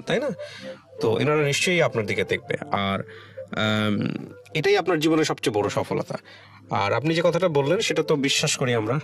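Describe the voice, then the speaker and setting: A Bangladeshi man hosting a conversational life-story radio interview, speaking in a reflective, deliberate way with some English words mixed in. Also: mid-range voice. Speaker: radio show host. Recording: music under the voice. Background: background music.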